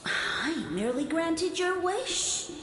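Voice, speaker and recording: A woman's voice: extremely high pitch, animated, slow pace, a bit bright, echoey room, a little hiss or hum, full character voice. A woman voicing a haughty, easily offended talking mushroom lord in a video game, with pompous, archaic formal diction. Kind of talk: video game character acting.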